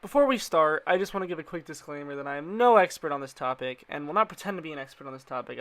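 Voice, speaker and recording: A man's voice: on the high side, a bit bright. A man narrating a casual explainer video in an informal, slangy way, with playful asides.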